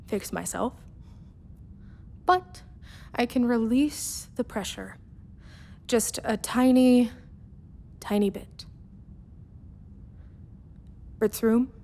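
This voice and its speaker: A woman performs a proud, troubled female mechanic in a radio drama. Her voice sits fairly high. She speaks confessionally, in short phrases broken by dramatic pauses. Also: a little mellow. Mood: strained, resigned. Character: troubled, self-assured female spaceship mechanic confessing addiction. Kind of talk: radio play monologue.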